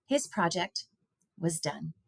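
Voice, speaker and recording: A woman reading a children's picture book aloud in an expressive storytelling style. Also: lowish voice, slow pace, creaky, no room sound, clean studio sound.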